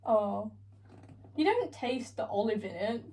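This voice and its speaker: A woman teasing her friend with quick wisecracks while baking in a home kitchen. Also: on the high side, expressive, warm tone, slight background noise, low-quality recording. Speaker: co-host baking for a video. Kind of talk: casual chat.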